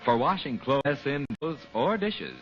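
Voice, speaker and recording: A man announcing an old-time radio sponsor pitch in an emphatic, salesmanlike manner. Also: very animated, a little slow. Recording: muffled old radio broadcast. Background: music.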